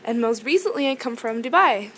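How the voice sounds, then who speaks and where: Young woman from South Africa, native Afrikaans speaker, higher voice, giggly, easygoing. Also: expressive, a little fast, a crowd in the background. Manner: giggly, easygoing, dry jokes. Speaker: musician guest. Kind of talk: casual interview chat.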